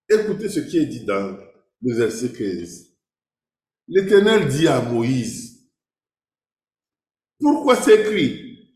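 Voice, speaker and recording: A man's voice: medium pitch, warm tone. A man preaching a sermon in French, emphatic and repetitive, quoting scripture and asking rhetorical questions. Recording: echoey, reverberant room.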